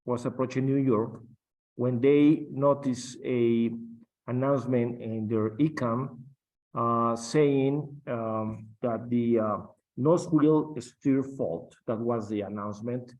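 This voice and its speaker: A middle-aged man whose first language is Spanish speaks slowly and haltingly, with many fillers and restarts. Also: medium pitch, fairly even intonation, darkish tone, rough recording. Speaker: guest captain. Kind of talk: interview on aviation.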